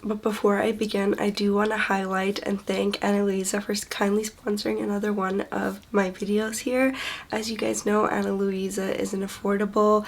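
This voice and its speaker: A woman beauty vlogger chatting casually to her viewers while recovering from the flu, her voice hoarse, congested and quieter than usual, with low energy. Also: little pitch movement, moderate pace. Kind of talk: casual sponsored vlog chat.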